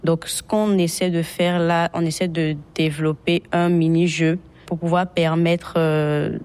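A woman's voice: on the low side, level tone, measured pace, a little mellow, clean tone. A woman from Côte d'Ivoire, earnestly explaining her team's project with some hesitations. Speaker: workshop participant.

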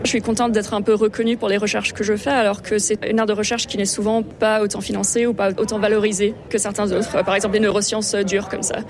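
A woman's voice: mid-range voice, little pitch movement, quick pace, hardly any pauses. A young woman from France, a researcher, answering interview questions thoughtfully with many hesitations. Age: young adult. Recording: crowd noise outdoors with traffic.

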